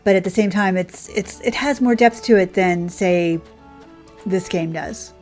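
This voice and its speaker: A woman's voice: mid-range voice, measured pace, darkish tone. A woman streamer chatting casually as she plays, rambling and thinking aloud in a conversational way. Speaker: game streamer, host. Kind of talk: casual livestream chat while playing. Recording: music playing behind the voice. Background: background game music.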